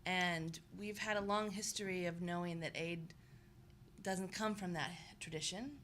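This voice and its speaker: A woman's voice: fairly low pitch, steady tone, unhurried, fairly bright tone, a little hiss or hum. A woman from rural Nebraska answering interview questions about her development work in a thoughtful, earnest way. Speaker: guest, development worker.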